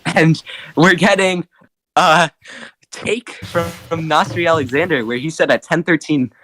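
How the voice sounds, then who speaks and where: A hoarse young man doing excited post-game commentary, laughing often and breaking into shouts. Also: on the high side, a little slow. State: hoarse, lost voice.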